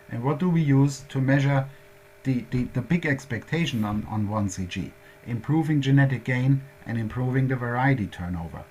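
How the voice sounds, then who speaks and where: A man's voice: medium pitch, darkish tone, slight background noise. A man with a German accent presenting at a workshop, explaining concepts step by step in a methodical way.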